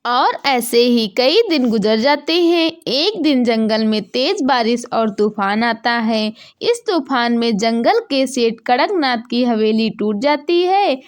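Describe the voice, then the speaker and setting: Female narrator, somewhat high pitch, scripted children's storytelling. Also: lively intonation, takes it slowly.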